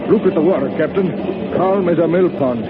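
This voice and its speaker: An actor playing a worried, superstitious first mate in a 1930s radio drama, on a dull lo-fi old recording. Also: male voice, a little high, fairly quick, very noisy, natural voice. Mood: uneasy. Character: uneasy male first mate on a ship.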